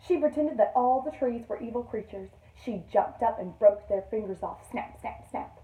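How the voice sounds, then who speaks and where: A woman teacher reading a picture book aloud to young children in an expressive storytelling manner. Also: a little high, normal speed, a little hiss or hum. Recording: roomy echo, distant microphone.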